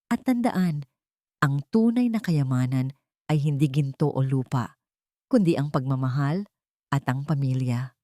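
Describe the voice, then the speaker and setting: A woman's voice: rumbling low, measured pace, long pauses, mellow, dry recording, studio quality. A woman voicing a loving, protective old grandfather in an audio story, solemn and tender.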